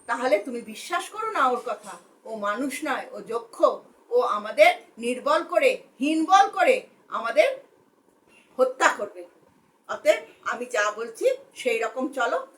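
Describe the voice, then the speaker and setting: A woman's voice: on the high side, sing-song, takes it slowly, pauses often. A woman voicing a wise, stern merchant leader who firmly admonishes followers in a storybook tale. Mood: patient reasoning. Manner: firm, admonishing, questioning.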